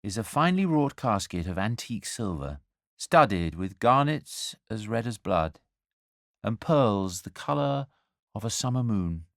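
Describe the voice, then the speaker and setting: A man narrating a scripted story as a dramatic storyteller, with wry, measured and deliberate phrasing. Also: on the low side, sing-song, vocal fry, fairly bright tone, no room sound, studio quality. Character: angel narrating the nativity story, wry and urbane.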